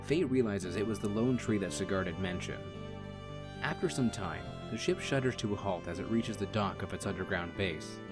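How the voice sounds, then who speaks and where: A man with a fairly deep voice narrates a scripted radio play. Loud music runs behind his storytelling. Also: moderate pace.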